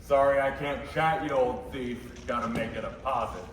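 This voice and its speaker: An actor playing a bumbling, good-natured old uncle with a flustered delivery in a stage radio play. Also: male voice, mid-range voice, steady tone, normal speed, natural voice. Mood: jolly teasing. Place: high school theater stage. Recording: echoey distant stage recording, faint noise.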